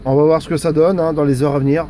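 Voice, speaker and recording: A man's voice: fairly high pitch, level tone, quick pace, mellow. A man giving casual outdoor commentary as a radio operator, hesitant and conversational, often repeating himself. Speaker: amateur radio operator and civil security volunteer. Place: outdoors in a storm. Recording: windy outdoor noise. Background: faint wind noise.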